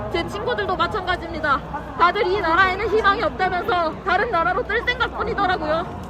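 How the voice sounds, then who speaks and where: A woman speaking at an outdoor political rally and hosting it, her voice pitched up as if through a voice changer. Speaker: protest speaker and event host. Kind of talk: impassioned political speech and announcements at a rally. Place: outdoor city street demonstration. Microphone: handheld microphone. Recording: noisy outdoor street, crowd and traffic.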